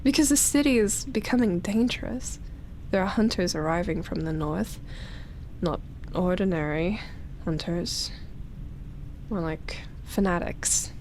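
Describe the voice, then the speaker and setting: A woman voicing an ancient, lonely vampire in love, speaking softly and intimately with sighs, whispered words and lingering pauses, as in roleplay ASMR. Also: on the low side, a little hiss or hum, low-quality recording. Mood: melancholy, confiding. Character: lonely, obsessive female vampire, ancient, tender and teasing.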